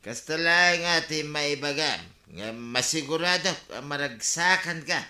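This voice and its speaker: A man's voice: on the high side, animated, measured pace, pushed and bright, roomy sound, full character voice. A man playing a merry, pushy village drunkard in an Ilocano radio comedy drama, boozy and sing-song. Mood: tipsy, insistent.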